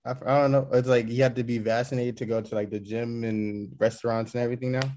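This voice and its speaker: A man's voice: medium pitch, level tone, fast talker, a little mellow, slight background noise. A man hosting a casual interview, asking rambling questions in a laid-back, slangy way. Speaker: podcast host.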